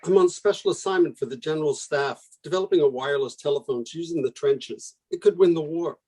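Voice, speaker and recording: A man playing a familiar, teasing young army officer in a radio play, with a soft-edged husky voice. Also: on the high side, fast, poor audio. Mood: brisk, teasing. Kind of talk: dramatic radio play acting.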